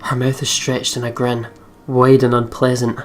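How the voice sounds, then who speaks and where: A man's voice: mid-range voice, monotone, moderate pace, faint music behind. A man reading a visual novel aloud as a let's player, with casual asides.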